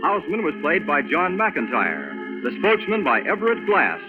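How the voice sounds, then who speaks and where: A man's voice: a little high, very animated, moderate pace, narrow, tinny audio. A man announcing a scripted 1940s radio serial in a formal broadcast style. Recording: thin old radio broadcast with organ.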